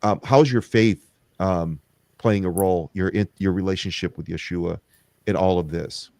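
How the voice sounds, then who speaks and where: A middle-aged man hosting a podcast, talking in a rambling, agreeable way with frequent pauses and restarts. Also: low-pitched, darkish tone, no echo. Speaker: podcast host. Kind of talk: conversational interview.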